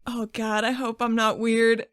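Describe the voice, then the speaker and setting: A woman playing an excitable, easily starstruck young woman, gushing and flustered, in a tabletop game. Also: on the high side, a little slow, clean tone, no room sound, clean studio sound, close to an everyday voice.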